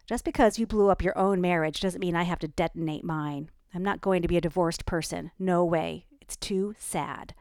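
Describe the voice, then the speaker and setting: Woman voicing a hurt, sharp-tongued friend. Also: moderate pace, hardly any pauses, no room sound, clean studio sound, lightly acted. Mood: cutting. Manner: cutting, wounded, low-voiced.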